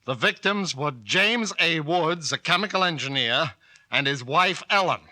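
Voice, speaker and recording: A nervous rental agent, really bright voice, old radio drama. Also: male voice, on the high side, expressive, measured pace, no echo, full character voice. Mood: uneasy. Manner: earnest, a little nervous, laughs it off. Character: uneasy rental agent, earnest. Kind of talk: 1940s radio acting.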